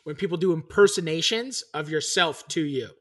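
A man with a scratchy, boyish voice, chatting excitedly as a chef with lots of fillers. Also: fairly high pitch, moderate pace. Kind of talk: casual chat.

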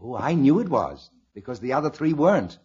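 A man's voice: medium pitch, very animated, brisk, a little mellow, breathy edge. An actor playing a kindly, absent-minded older father with a vague, rambling manner in a 1950s radio comedy. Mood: muddled, genial. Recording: muffled, noisy vintage radio recording.

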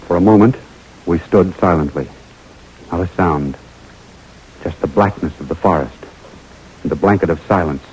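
An actor with an American accent playing an eager young lieutenant on a secret mission, in 1940s radio drama style, on an old recording. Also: male voice, low-pitched, moderate pace, pauses often, a little mellow, a little hiss or hum, lightly acted, rough recording. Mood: tense, exhilarated. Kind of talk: 1940s radio drama acting and first-person narration.

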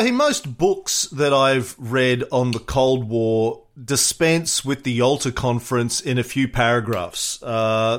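A man hosting a podcast, briskly reading out a guest introduction and then asking questions. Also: medium pitch, a bit bright. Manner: reads lists of titles briskly. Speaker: podcast host.

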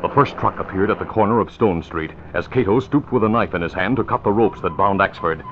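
Deep-voiced man narrating old radio drama. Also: a little fast, fairly bright tone, slight background noise. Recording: muffled old radio recording.

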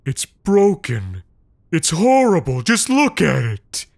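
A man voicing a huge, menacing businessman giant who talks in a booming, sarcastic way. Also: animated, no room sound, clean studio sound, close to an everyday voice. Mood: disgusted. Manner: slow, theatrical, swings loud then soft. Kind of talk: storytelling narration.

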